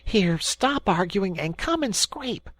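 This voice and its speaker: A shrill, excitable water rat, put on by a storybook reader, who barks orders and exclaims at every turn. The voice leaps high and swoops wildly. It sounds dry and close, with no room around it. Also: slow pace, no room sound, heavily acted. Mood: impatient. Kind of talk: storybook read-aloud.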